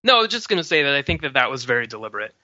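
A man co-hosting a podcast, analysing films casually in a deliberate, thoughtful way with hesitant pauses. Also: medium pitch, sing-song, bright, forceful tone, dry recording, dull audio. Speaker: podcast co-host.

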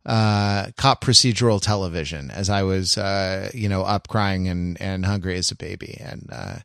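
A man chatting casually as a podcast co-host, halting and often repeating his words as he goes. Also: low-pitched, creaky, a bit bright, smooth, no room sound, clean studio sound. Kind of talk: casual chat.

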